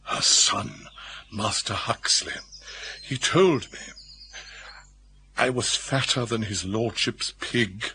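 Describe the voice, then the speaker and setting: An actor playing a portly, deferential English butler in a radio drama, with formal, respectful and measured speech. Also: male voice, lowish voice, sharp tone, a little hiss or hum. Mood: wounded dignity. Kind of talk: British radio drama acting.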